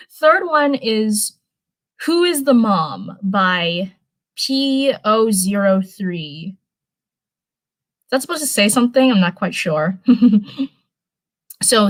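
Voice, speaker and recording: A woman's voice: medium pitch, a bit bright, low-quality recording. A woman with a mid-range voice hosts a live reading stream. She is informal and chatty, speaking casually as if straight to her viewers. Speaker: host of a live stream reading fan fiction. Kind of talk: casual livestream chat and live story reading.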